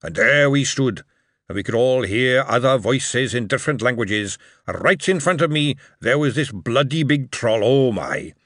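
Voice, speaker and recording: A blunt old dwarf cobbler, as a man puts him on, speaks in a gruff, storytelling way. His voice swings up and down with excitement. The sound is close and dry. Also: medium pitch, a little slow, no room sound. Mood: gruff reminiscing. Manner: gruff, telling a war story with rising excitement.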